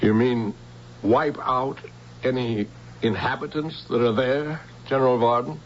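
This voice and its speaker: An actor playing an earnest scientist who stands defiant under threat in a vintage radio drama. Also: male voice, medium pitch, fairly even intonation, measured pace, close to an everyday voice. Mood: wary defiance. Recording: muffled old radio recording with noise.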